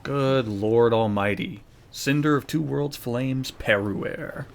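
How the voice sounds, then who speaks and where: A man's voice: mid-range voice, slow, vocal fry. A man streaming a game, giving casual live commentary with informal reactions and slang. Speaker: gaming streamer.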